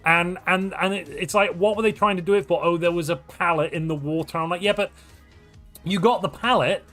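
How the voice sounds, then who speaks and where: A man's voice: high-pitched, a little fast, faint music behind. Chatty man riffing on a stream. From North Wales. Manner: animated, rambling, jokey and self-mocking. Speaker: streamer and tournament caster. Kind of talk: casual chat on a live stream.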